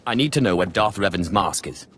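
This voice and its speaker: An actor playing a cynical, menacing young Sith recruit in a video game, curt, dry and scornful. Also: male voice, deep voice, animated, a little fast, a bit bright. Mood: curt, calculating. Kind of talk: video game cutscene acting.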